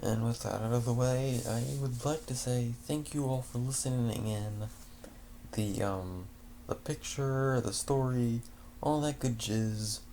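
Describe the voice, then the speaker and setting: A man reading a fanfiction story aloud in a halting way, restarting words, then chatting casually to listeners. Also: medium pitch, mellow, a little hiss or hum. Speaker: fanfiction reader.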